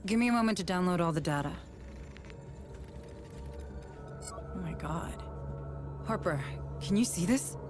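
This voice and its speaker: An actress playing a focused military tech specialist in a video game, brisk and matter-of-fact. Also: female voice, lowish voice, animated, music in the background, natural voice. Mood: wary. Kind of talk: video game cutscene acting.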